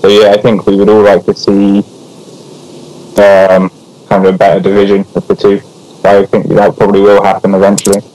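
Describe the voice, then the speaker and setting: Brief: guest, low monotone, stop-start delivery. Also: male voice, poor audio. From England. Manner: halting, many restarts. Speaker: guest map builder. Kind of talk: casual group voice chat.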